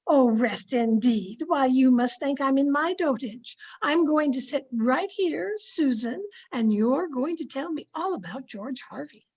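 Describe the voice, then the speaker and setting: A woman's voice: sits high, lively intonation, a little slow, hardly any pauses, a little mellow. A woman playing a cheerful, meddlesome older unmarried aunt in an old-time radio drama, chatty and knowing. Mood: knowing, insistent.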